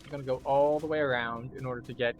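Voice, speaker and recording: Guy doing chill game walkthrough commentary, average pitch, music in the background. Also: steady tone, fast. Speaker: gameplay guide and streamer.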